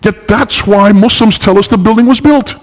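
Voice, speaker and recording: A man's voice: sits high, normal speed. A man with a cold giving an emphatic public lecture, teaching through pointed rhetorical questions. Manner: emphatic, didactic, questioning the audience. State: has a bad cold and fever. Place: large reverberant indoor room. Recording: echoey hall with long reverb.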